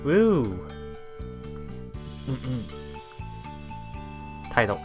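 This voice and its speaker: Slow talker doing a visual novel let's play, reads lines out loud, stumbles a bit, soft music behind. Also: mid-range voice, sing-song. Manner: halting, often chuckling. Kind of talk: casual game commentary, reading dialogue aloud. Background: visual novel background music.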